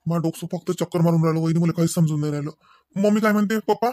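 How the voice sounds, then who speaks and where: Cartoon husband played by a man: exasperated, slightly high voice, Vidarbha Marathi accent, dry recording. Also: very fast, a little mellow, dry recording, lightly acted. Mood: bewildered. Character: exasperated young husband venting to his sister-in-law. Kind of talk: cartoon dialogue in rural dialect.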